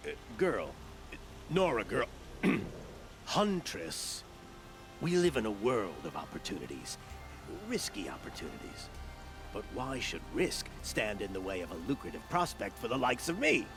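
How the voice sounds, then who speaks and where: An actor voicing a shifty, blustering opportunist in a video game, with a sly, stammering salesman's patter and a gravelly edge. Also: medium pitch, big pitch swings, a little slow, over music. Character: shifty, blustering male opportunist merchant-gambler, bargaining and flattering. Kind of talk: video game dialogue acting.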